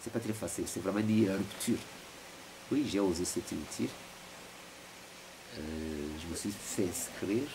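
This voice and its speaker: Very deep-voiced hesitant man, 40s. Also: measured pace, long pauses. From Rwanda. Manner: hesitant, many repeated words and fillers. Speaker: interviewee, philosopher. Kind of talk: reflective interview answers. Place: at home. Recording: noisy home recording.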